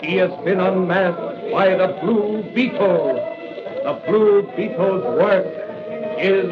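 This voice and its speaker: An actor playing a bold masked crime fighter in an old radio adventure, declaiming taunts and threats. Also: male voice, high voice, steady tone, slow pace. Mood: triumphant. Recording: muffled radio recording under loud music.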